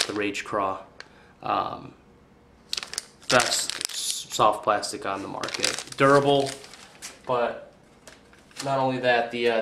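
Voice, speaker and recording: A young man hosting a casual fishing video indoors, talking in a relaxed, hesitant way with frequent fillers, recorded on a camera mic at a counter. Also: medium pitch, noisy background. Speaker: fishing channel host. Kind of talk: casual tackle review. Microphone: camera mic on tripod.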